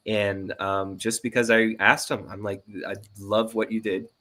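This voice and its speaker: A man's voice: on the low side, flat delivery, unhurried, bright, forceful tone. A man speaking as a sound designer guest in a casual interview, informal and encouraging.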